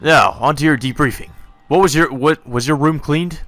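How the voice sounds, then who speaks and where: Brash, impatient student for a visual novel played by a man; sharp, pitched up, pushy. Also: normal speed, soft background music. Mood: brash impatience.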